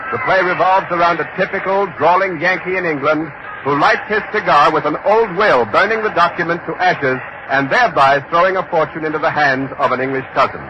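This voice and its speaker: An actor playing an earnest American radio newsman reporting live from a theater, in measured vintage commentary style. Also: on the high side, close to an everyday voice. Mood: excited, projecting. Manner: measured, clearly projected announcing. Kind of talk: 1940s-50s radio news commentary. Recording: dull, noisy vintage radio recording.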